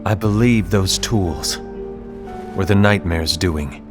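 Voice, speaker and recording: A grave, suspicious fantasy leader. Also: male voice, sits low, music in the background. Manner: grave, measured and reasoning. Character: serious, suspicious male leader of a fantasy union. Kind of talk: video game cutscene acting.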